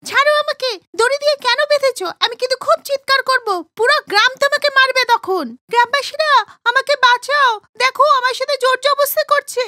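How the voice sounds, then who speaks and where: A woman doing a shrill scolding village woman. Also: steady tone, quick pace, pushed and bright, no echo, clean studio sound. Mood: shrieking. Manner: shrieking, scolding. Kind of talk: Bengali cartoon voice acting.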